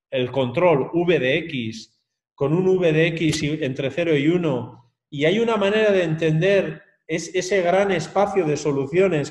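A man with a slightly higher voice gives a relaxed academic talk over a video call, keeps checking in with his listeners and sounds set in an echoey room. His comes through as he explains. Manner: lively, conversational, with frequent tag questions. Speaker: lecturer. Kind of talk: academic webinar lecture.

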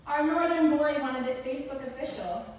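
Young woman, bridesmaid speech, high animated voice, distant echoey recording, noisy room. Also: a little fast. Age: young adult. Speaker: bridesmaid giving a wedding speech. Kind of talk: scripted rhyming storybook speech. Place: large wood-walled indoor reception venue. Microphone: mic on a stand, picked up by far camera.

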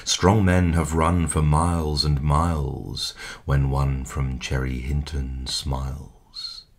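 A man giving a dramatic poetry recitation in a measured, expressive way, with hushed line endings. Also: a bass voice. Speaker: poetry reader. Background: music.

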